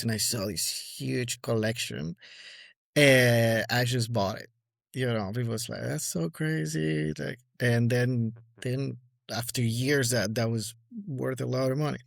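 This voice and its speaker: A man's voice: mid-range voice, steady tone, unhurried, gravelly, no echo, studio quality. A man in his forties with an Argentine accent, a guest speaking in a casual interview with many fillers and restarts. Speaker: guest collector.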